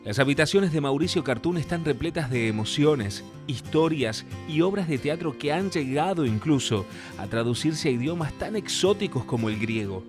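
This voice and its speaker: A man's voice: fairly high pitch, lively intonation, normal speed. A man with an Argentine accent reading a scripted profile narration as a voice-over, with guitar playing behind him. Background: guitar music.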